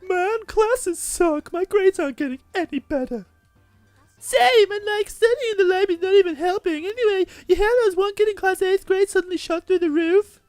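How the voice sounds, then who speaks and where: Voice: very high voice, moderate pace, darkish tone, dry recording, faint music behind, pitched above the performer's own voice. A game player putting on a whiny, gossipy teenage schoolgirl voice for a visual novel. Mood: whiny, indignant. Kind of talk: mocking amateur character reading.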